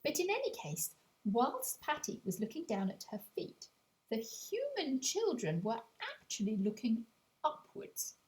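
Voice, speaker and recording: A woman's voice: fairly high pitch, huge pitch swings, unhurried, darkish tone, rough recording. A woman narrating a children's audiobook as a storyteller, with confiding asides to the listener.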